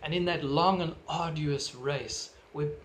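A middle-aged man with a South African accent preaching a sermon from his living room into a webcam, earnest and measured, gesturing as he talks. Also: a little high, slight background noise. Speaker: pastor. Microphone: webcam at desk distance.

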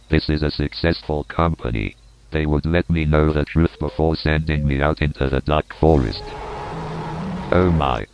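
A man's voice: very deep voice, clean tone, highs cut off, full character voice. An actor playing a nervous male park worker, speaking in an uneasy, worried way on a tape recording. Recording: noisy found-footage tape.

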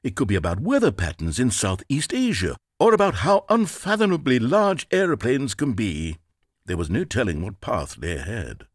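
A grandly theatrical, sarcastic narrator for a video game. His husky voice swoops up and down with huge expressive range. It is recorded dry and close, with no room sound. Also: mid-range voice, dry recording. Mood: mock grandeur. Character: pompous, theatrical male narrator, middle-aged. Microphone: close studio mic.

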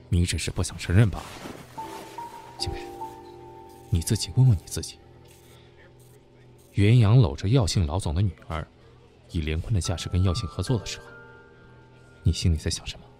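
Man playing very low-voiced, needling worldly businessman. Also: normal speed, pauses often, warm tone, faint music behind, lightly acted. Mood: needling, pressing. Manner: knowing, needling. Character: insinuating, worldly senior businessman giving warnings. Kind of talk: Chinese audio drama acting.

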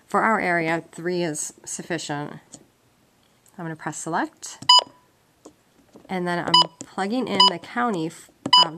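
A lower-pitched woman talks through a hands-on tutorial in a practical, conversational way. She explains each step informally. The recording is very noisy, like amateur handheld camera sound made indoors. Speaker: instructor demonstrating a gadget. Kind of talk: informal step-by-step how-to demonstration. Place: indoors at a wooden table. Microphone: handheld camera mic, off screen. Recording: noisy amateur camcorder sound with clicks and beeps.

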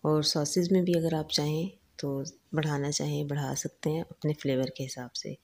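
A woman narrates a home cooking recipe. Her voice sits low and a little husky. She walks through ingredients and steps in a calm, patient manner. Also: normal speed. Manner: calm, instructive, lists ingredients and quantities. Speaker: cooking channel host. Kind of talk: step-by-step recipe voice-over.

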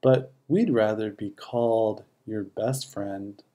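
A narrator reading a rhyming children's picture book in a measured, expressive way. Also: medium pitch, lo-fi sound. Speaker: audiobook narrator. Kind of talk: scripted children's storybook narration in rhyme.

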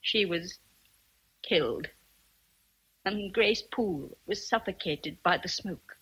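A woman's voice: fairly low pitch, expressive, slow, a bit bright, breathy edge, exaggerated voice, low-quality recording. An actress with an English accent playing a kindly, motherly old housekeeper in a radio drama, tender and grave. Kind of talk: BBC radio drama acting.

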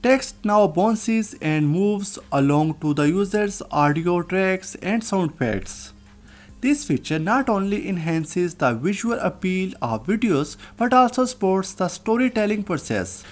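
A software tutorial host reads a scripted narration. The voice sits at a middle pitch, with faint music underneath. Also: big pitch swings, measured pace. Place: voice-over, recorded off screen.

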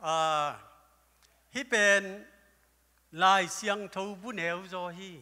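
A man's voice: sits high, slow. Older male pastor preaching in an echoing hall. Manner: preaching at a lectern, gesturing, with bursts of loud exclamations. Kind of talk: sermon. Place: church hall stage. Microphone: handheld microphone. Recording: live church hall with long reverb.

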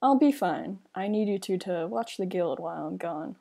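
A woman playing a hesitant magic guild member with halting speech in a fantasy game roleplay. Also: lowish voice, lively intonation, vocal fry, warm tone. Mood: subdued.

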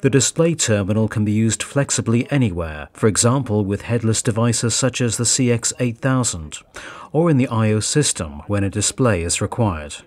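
A man reading scripted narration as a voice-over for a trade show report, in a measured, even delivery. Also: on the low side. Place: voice-over booth.

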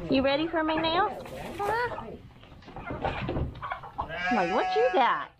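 A woman chatting and calling to farm animals in a wooden barn, cooing at them in a high sing-song voice. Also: very animated, slow pace, very noisy. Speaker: vlogger feeding farm animals. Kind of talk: casual chat to animals.

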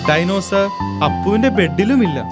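A woman's voice: mid-range voice, animated, normal speed, over loud music, lightly acted. A woman playing a friendly storyteller, narrating a cartoon for young children. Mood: storytelling.

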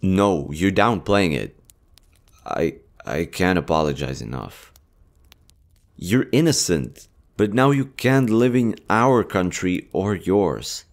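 Man acting a very deep-voiced, tender army general. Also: lively intonation, takes it slowly, heavily acted. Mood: apologetic, sincere. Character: kind, earnest young male army general, secretly fond. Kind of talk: romantic audio roleplay acting.

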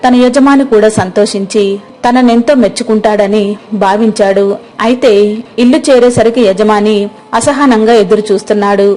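A woman's voice: medium pitch, moderate pace, a little mellow, low-quality recording. A woman narrating a scripted moral story as the storyteller.

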